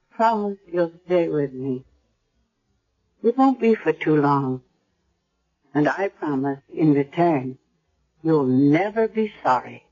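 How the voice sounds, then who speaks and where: An actress playing an elderly, blind, dying grandmother, gentle and pleading, in 1970s radio drama style. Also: low voice, expressive, slow, long pauses, slightly rough, exaggerated voice. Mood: resigned, tender. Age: older. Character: frail old blind grandmother, dying, tender and resigned. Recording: dull vintage radio recording.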